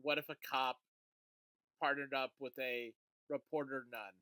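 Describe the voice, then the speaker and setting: Bright-voiced podcast co-host. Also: mid-range voice, fairly even intonation, unhurried, no room sound, rough recording. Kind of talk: casual TV review chat.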